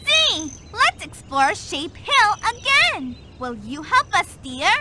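Upbeat girl explorer, encouraging, very high, lively pitch, slow. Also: heavy background noise, heavily acted. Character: cheerful young girl explorer. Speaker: game guide addressing the player.

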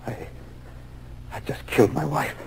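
Guy doing haunted guilty man losing his mind, old 40s radio, slow and halting, noisy recording. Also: lightly acted. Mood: broken, confessing. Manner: halting, repetitive, overwrought. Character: guilt-ridden man cracking into terrified madness. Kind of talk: 1940s radio acting.

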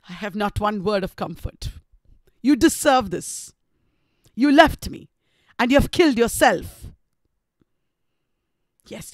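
A tormented, savage male lover from a classic novel, acted out by a woman. The delivery is impassioned and accusing, high in pitch, with drawn-out pacing. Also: vocal fry, dry recording, clean studio sound, exaggerated voice. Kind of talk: dramatic reading of a classic novel.

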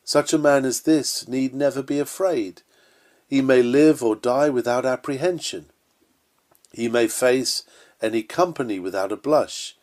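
A man's voice: medium pitch, a little mellow. Male sermon reader, mid-range voice, earnest oratorical delivery of old-fashioned scripted text. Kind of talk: reading an old sermon aloud.

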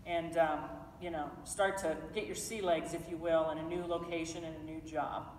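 A middle-aged woman with a fairly low voice moderates a conversation in a large hall and keeps her questions loose with "kind of" and "you know". Because she is recorded from far across the room, her voice has a long echo and a faint ambient hum underneath. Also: level tone, normal speed, a little mellow. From Montana. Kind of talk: onstage fireside chat. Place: large indoor event hall with an audience. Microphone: recorded by a far camera across the room.

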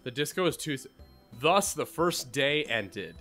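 A man's voice: medium pitch, sing-song, a little slow, fairly bright tone, soft background music. A man hosting a gaming channel, reading a visual novel aloud with crude, wisecracking commentary. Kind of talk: comic read-along commentary on a visual novel.

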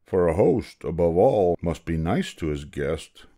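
A big, gentle storybook moose, as a man acts him out, meek and polite to a fault. The delivery is slow, with expressive swings in pitch. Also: full character voice. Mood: grudging, patient. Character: big-hearted, gentle, put-upon male moose.

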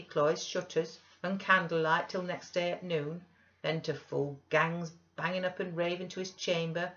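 A woman's voice: low voice, fairly bright tone, lo-fi sound. A woman voicing an old Yorkshire servant man, gossiping and scornful, in an audiobook reading.

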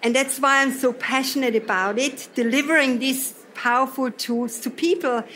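A woman's voice: high voice, fairly bright tone, a little hiss or hum, rough recording. Austrian woman leading a workshop talk. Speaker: seminar trainer. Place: event hall.

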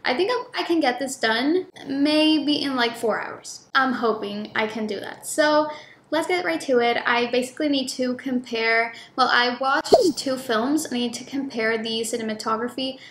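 High-voiced young woman in an echoey room. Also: normal speed, fairly bright tone. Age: young adult. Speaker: vlogger. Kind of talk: casual chat to viewers. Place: echoey home office room, indoors. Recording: echoey room, clear background noise.

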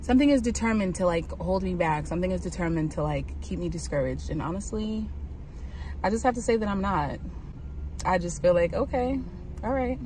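A woman's voice: lowish voice, lively intonation, a little fast, darkish tone, slight background noise, poor audio. A young woman talking casually and candidly to her phone camera, mostly from inside a parked car, upbeat despite her troubles. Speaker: vlogger. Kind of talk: casual vlog chat. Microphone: phone held at arm's length.

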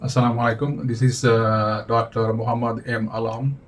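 A man in his forties from Bangladesh, a doctor, answering interview questions plainly and calmly in an office, with slightly English. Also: mid-range voice. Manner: plain, measured, slightly non-native English. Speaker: physician, interview guest.

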